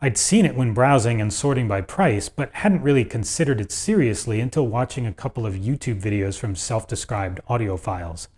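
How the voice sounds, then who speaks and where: A man's voice: mid-range voice, animated, brisk, few pauses, darkish tone. A man hosting a video, narrating a script about audio gear in a conversational, opinionated way with dry asides.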